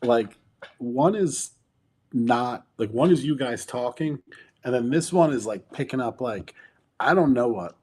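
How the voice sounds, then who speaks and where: A man's voice: mid-range voice, animated, measured pace, darkish tone, breathy edge. A man chatting casually with friends, rambling and packing his talk with fillers. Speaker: podcast co-host. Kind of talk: casual chat among friends.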